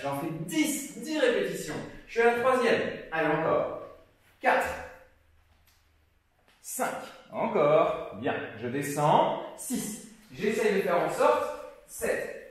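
Young male fitness coach, high animated voice, counts reps, very roomy distant sound. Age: young adult. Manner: counts reps aloud, lively encouraging coaching. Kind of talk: guided workout instruction. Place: gym studio, indoors. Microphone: far camera. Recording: very echoey room, voice from far off.

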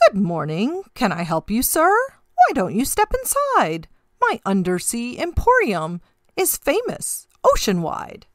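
A woman's voice: on the high side, huge pitch swings, a little slow, voice pushed down. A woman voicing an eager, polite male seahorse shopkeeper with showy sales patter in a rhyming picture book. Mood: welcoming.

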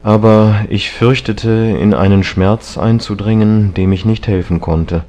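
A man plays an earnest artist in a literary novel, deep and slow. He speaks in a hushed, strained way, full of grief and gentle reproach. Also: steady tone, lightly acted. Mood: worried, compassionate. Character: grieving, reproachful male painter, earnest and gentle.

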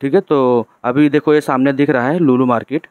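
Casting: chatty male vlogger, riding through town, dry close sound, mid-pitched voice. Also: fairly even intonation, brisk, dry recording, narrow, tinny audio. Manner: chatty, repeats "theek hai" as a tag. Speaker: travel vlogger. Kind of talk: casual vlog narration.

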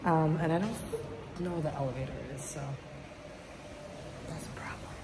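A woman's voice: low voice, moderate pace, noisy background. A young woman in her twenties talking casually into a phone held at arm's length at a resort. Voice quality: raspy in the morning. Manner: soft, half-whispered at night. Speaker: travel vlogger. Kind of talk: casual selfie vlog. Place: hotel rooms and resort grounds.

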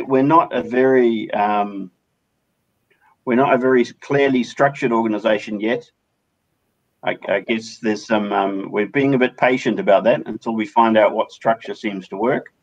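A man's voice: mid-range voice, normal speed, highs cut off. A man on a video call who speaks hesitantly, restarting his sentences. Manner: hesitant, many fillers and restarts. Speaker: community organizer. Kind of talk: casual video call discussion.

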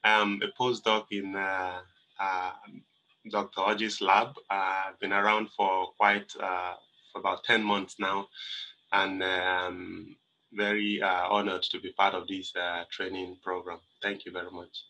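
A man's voice: sits low, flat delivery, unhurried, sharp tone, poor audio. A man in his forties introducing himself on a video call as a postdoc, hesitant with many filler sounds. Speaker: postdoctoral researcher.

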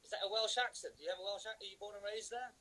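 Man, bright slightly husky voice, jokey rambling host, phone held at arm's length. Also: sits high, very fast, rough recording. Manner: jokey, rambling, lots of fillers and restarts. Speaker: livestream host. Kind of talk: casual chat on a live stream. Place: indoor room with patterned wall hanging. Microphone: phone camera at arm's length.

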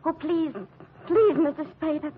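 A timid, pleading radio-drama client. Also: female voice, high voice. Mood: desperate, pleading. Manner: halting, pleading. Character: timid, distraught young female client, pleading and loyal. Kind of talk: 1940s radio melodrama acting. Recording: noisy, lo-fi old radio recording.

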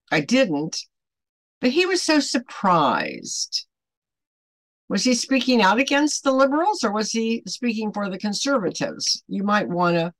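A woman in her seventies teaching a Bible devotional to camera at home, earnest and deliberate, putting emphatic questions to the listener. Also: medium pitch, no echo. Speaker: online Bible study host. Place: small home room, indoors.

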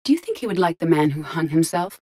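The onboard computer of a video game starship: a low, measured female machine mind, curious and logical, briefing the crew with clinical formality. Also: very animated, warm tone. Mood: composed, analytical. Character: calm, logical, curious female artificial intelligence in a robot body. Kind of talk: video game character voice lines.

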